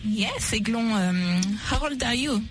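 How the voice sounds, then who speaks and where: A woman in her early twenties asking questions in a halting, hesitant way. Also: fairly high pitch, animated, noisy background.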